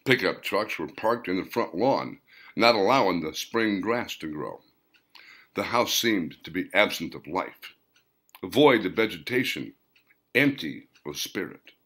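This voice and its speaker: A man reading a story as a scripted audiobook narration, in deliberate, dramatic phrases broken by pauses. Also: low voice, animated, fairly bright tone. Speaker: author reading own novel, narrator.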